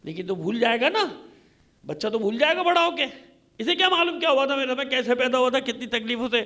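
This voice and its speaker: A man's voice: very high-pitched, expressive, fast talker, pushed and bright. A man delivering an impassioned religious sermon as a Shia preacher, emphatic and repetitive, with rhetorical questions. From Pakistan. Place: congregation hall, speaking to a gathering.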